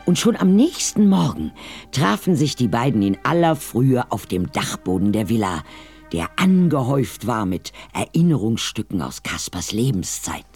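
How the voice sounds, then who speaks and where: A man tells a scripted story as narrator. His voice sits mid-range with animated melody and careful phrasing, and music plays faintly underneath. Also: medium pitch, normal speed, husky.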